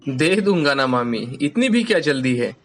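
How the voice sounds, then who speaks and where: An actor voicing a caring, agreeable young husband in an animated moral story, polite and expressive. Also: mid-range voice, moderate pace, a little hiss or hum. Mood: affectionate, easygoing.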